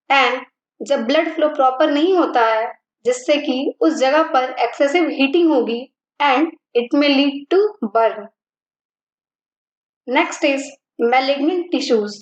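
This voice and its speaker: A woman teaching physiotherapy, slowly listing points and mixing Hindi with terms. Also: high-pitched, reverberant, highs cut off. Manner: mixes Hindi and English terms, list-like delivery. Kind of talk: slow, deliberate lecture reading.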